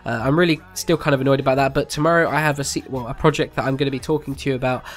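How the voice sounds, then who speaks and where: A man's voice: mid-range voice, steady tone, racing. A young man with a heavy cold, hosting a game clip countdown in casual, rambling talk. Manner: rambling, with fillers and restarts. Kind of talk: casual video narration.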